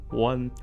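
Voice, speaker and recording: A man playing a smug, sadistic sorcerer in an ASMR fantasy roleplay, mock-polite and menacing, with breathy drops into whispers. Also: medium pitch, mellow, dull audio, exaggerated voice. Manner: theatrical, mock-polite, menacing, drawn-out phrasing. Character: smug sadistic male sorcerer, self-styled mage king.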